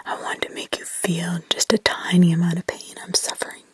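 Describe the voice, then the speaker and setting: A woman's voice: on the low side, monotone, a little fast, husky, dry recording, pitched below the performer's own voice. A woman voicing a possessed little girl who sounds both childlike and demonic, whispering with menace. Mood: menacing. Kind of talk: whispered horror story reading.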